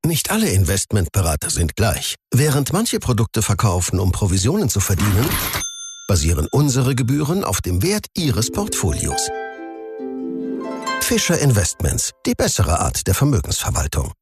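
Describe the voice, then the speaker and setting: A man delivers scripted commercial narration in a low voice. His intonation is lively, and music plays faintly behind him. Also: moderate pace. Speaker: advertising voice-over.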